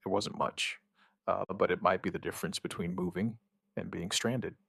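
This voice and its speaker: A man playing an outbreak survivor who gives a wry, weary witness account in an audio drama, in short, halting, understated phrases. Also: sits low, steady tone, creaky, a bit bright, slightly rough, no echo, clean studio sound, full character voice. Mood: tense, dry. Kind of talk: scripted first-person audio drama narration.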